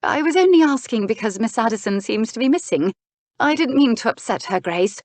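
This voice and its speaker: Earnest young society lady played by a woman narrator, lightly raised pitch, polite, flustered, apologetic, period romance audiobook. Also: lively intonation, moderate pace, dry recording, close to an everyday voice. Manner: apologetic, earnest, well-mannered. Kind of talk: historical romance audiobook character.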